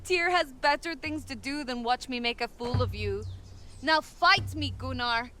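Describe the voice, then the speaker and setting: Young Norse warrior woman, cocky, playful, fearless, unhurried, game drama over prominent music. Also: sits high. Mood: playful taunting. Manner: taunting, playful. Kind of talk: video game cutscene acting.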